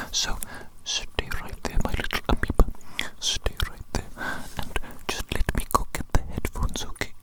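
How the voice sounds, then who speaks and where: Woman acting as eccentric evil doctor, ASMR roleplay, breathy whisper, doting, fussy, slow repeated phrases. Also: lightly acted, low-quality recording.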